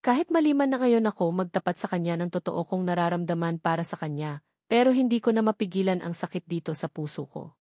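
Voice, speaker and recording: A woman's voice: fairly low pitch, expressive, quick pace, warm tone, dry recording, studio quality. A woman with a Filipino accent reading scripted narration from a romance novel. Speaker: audiobook narrator.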